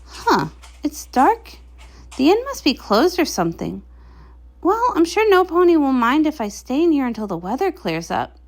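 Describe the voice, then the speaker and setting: A woman's voice: high voice, sing-song, moderate pace. A woman voicing a kindly, practical, plucky travelling healer pony in a comic read-along. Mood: uneasy.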